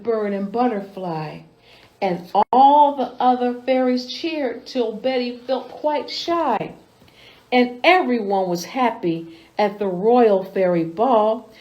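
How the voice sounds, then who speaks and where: A woman reading a children's picture book aloud as a storytime host, with an expressive, sing-song rhyming delivery. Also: mid-range voice, rough recording. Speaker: library storytime reader.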